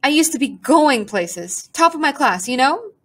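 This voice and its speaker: A woman's voice: high voice, expressive, moderate pace, pitched above the performer's own voice. A woman voicing a melodramatic, self-pitying talking pigeon with a pompous, theatrical manner. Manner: theatrical, sighing, haughty complaints.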